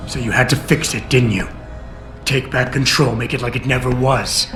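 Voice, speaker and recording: A man's voice: medium pitch, steady tone, moderate pace, fairly bright tone, close to an everyday voice. An actor playing a stern male augmented agent in a video game, blunt and confrontational. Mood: pressing. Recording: roomy game audio with music.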